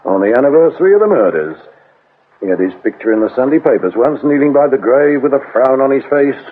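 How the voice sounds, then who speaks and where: An actor playing a brusque, sarcastic, middle-aged English detective inspector in a radio drama, grumbling and curt. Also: male voice, mid-range voice, animated, fast, close to an everyday voice. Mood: terse. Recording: muffled lo-fi radio recording with hiss.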